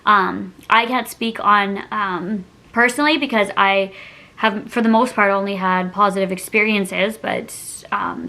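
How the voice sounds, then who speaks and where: Bright-voiced woman telling a casual story. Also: mid-range voice. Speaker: vlogger. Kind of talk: casual storytime chat to camera.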